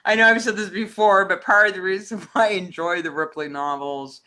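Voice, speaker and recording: A woman's voice: medium pitch, a little fast, sharp tone. A woman co-hosting a casual discussion, talking in a chatty, informal way. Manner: chatty, laughs often while talking. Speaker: co-host. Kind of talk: casual chat.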